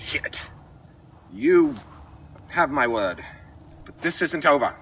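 A man's voice: fairly high pitch, expressive, slow pace, a bit bright, slightly rough, heavily acted. A man playing a sullen, defiant spaceship officer in an audio drama, curt and grudging. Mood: sullen defiance. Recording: noisy background.

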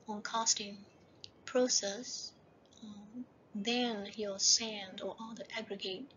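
A woman gives a recorded university lecture over slides, often checking in with "right?" and slowing down to read out each heading. Her delivery is flat and steady. The recording sounds muffled and lo-fi, with a faint hiss behind her. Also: a little high. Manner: repeats "right?" and "okay?" as checks.

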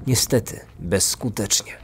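A man's voice: fairly low pitch, unhurried, slight background noise. A man narrating a scripted true-crime podcast as its host, in documentary style. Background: music.

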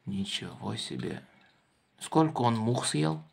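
A man's voice: medium pitch, big pitch swings, slow, vocal fry. A man hosting a children's toy car show, chatting playfully with a child and prompting with questions. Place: indoors at a wooden table. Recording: faint background noise.

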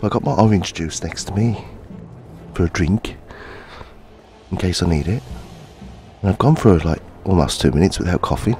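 Gaming host, 50s, man: gruff and hoarse from a cold, self-mocking casual chatter. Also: low-pitched, animated, normal speed, long pauses, a little mellow. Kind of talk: casual let's-play commentary. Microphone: headphones. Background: game music.

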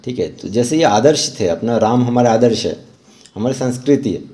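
A man narrating a step-by-step art tutorial as an instructor, often pausing mid-sentence. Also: mid-range voice, lively intonation, mellow.

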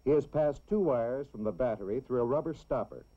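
An American man narrates in the manner of a 1960s classroom film. His delivery is deliberate and simple, pitched at children, with a mid-range voice. The sound is dull and lo-fi, like an old film soundtrack. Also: expressive.

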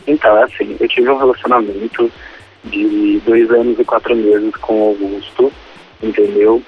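A man's voice: on the low side, fairly even intonation, a little slow. A man of twenty-nine, phoning in to a radio show and talking casually. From Ribeirão Preto, Brazil. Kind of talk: casual phone-in storytelling. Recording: muffled, husky phone line, faint music.

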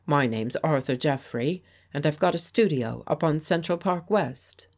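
A woman reading a genial, self-assured gentleman. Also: sits low, measured pace. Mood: pleasant. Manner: pleasant, firm, persuasive. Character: genial, confident gentleman painter. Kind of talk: audiobook character voice.

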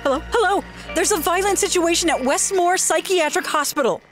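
A woman's voice: very high voice, brisk, faint music behind. A woman playing an urgent, worried figure in an audio drama. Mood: alarmed. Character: urgent, alarmed woman fearing danger.

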